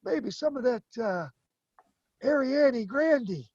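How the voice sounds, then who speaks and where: A man playing an old, half-deaf grandfather shoemaker who talks in a slow, wandering way. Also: very high voice, lively intonation, darkish tone, muffled recording, heavily acted. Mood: musing. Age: older. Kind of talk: stage play acting.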